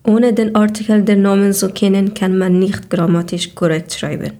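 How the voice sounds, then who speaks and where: Woman reading slowly. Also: mid-range voice, level tone, warm tone. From Afghanistan. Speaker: podcast co-host. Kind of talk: prepared podcast answers.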